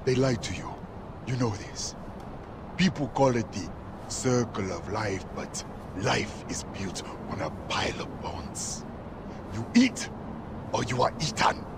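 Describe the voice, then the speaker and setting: A theatrical, wildly swooping warlord preacher. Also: male voice, mid-range voice, animated, a little slow, background noise. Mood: hushed, grave. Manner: theatrical, swings from hushed to thundering. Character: fervent gun-loving preacher, a former warlord. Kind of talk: scripture-quoting sermon in a game cutscene.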